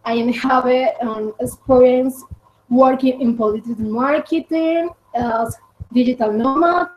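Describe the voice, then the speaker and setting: Colombian woman, 20s, speaks english slow and halting w lots of ums, slightly high voice, muffled lo-fi audio. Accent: Colombian Spanish. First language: Spanish. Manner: halting non-native English with fillers and Spanish asides. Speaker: podcast guest. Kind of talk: casual interview answers. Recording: dull lo-fi audio.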